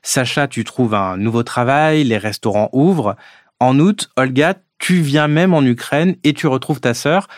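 A man's voice: mid-range voice, unhurried, dry recording. A male interviewer with a mid-range voice lays out context and puts questions to guests. His phrasing is structured and prepared. The recording is dry and close. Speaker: podcast interviewer.

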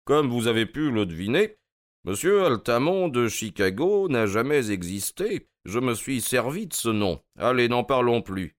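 Un homme qui joue, pour un livre audio, un détective anglais d'âge mûr, suave, courtois et moqueur. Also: male voice, medium pitch, animated, no echo, studio quality, pitched above the performer's own voice, close to an everyday voice. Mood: playfully smug. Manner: amused, courteous, drawn-out mocking delivery. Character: suave, wry older English detective, gloating politely.

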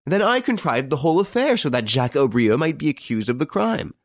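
Man playing a mocking young suspect, expressive. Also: on the low side, normal speed, creaky, no room sound, clean studio sound. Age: young adult.

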